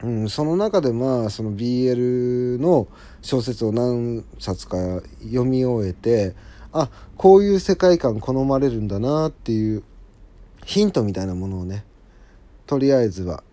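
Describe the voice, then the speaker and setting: A man talks alone as the host of a casual show, in a soft-edged, mellow timbre. His intonation rises and falls in a very lively way. He is polite and conversational, often pausing to agree with himself as he updates his listeners. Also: mid-range voice. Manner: polite, apologetic, conversational with frequent agreeing asides. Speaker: solo audio show host.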